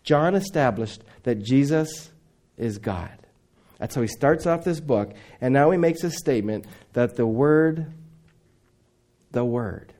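A middle-aged man who grew up in Indonesia, preaching a sermon with dramatic swings between loud emphasis and near whispers. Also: medium pitch, expressive, a little slow.

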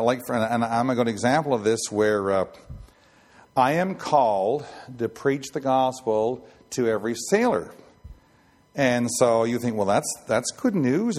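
A man in his sixties with a raspy voice, giving an informal Bible teaching and rambling with many fillers and restarts. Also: mid-range voice, darkish tone. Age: 60s. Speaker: ship chaplain preacher.

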